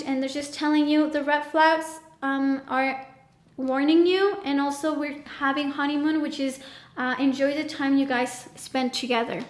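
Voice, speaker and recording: A woman's voice: high-pitched, reverberant. A woman giving a casual tarot reading, chatting loosely and speaking straight to the viewer, her voice a little strained. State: voice feels off, a bit strained. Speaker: tarot reader.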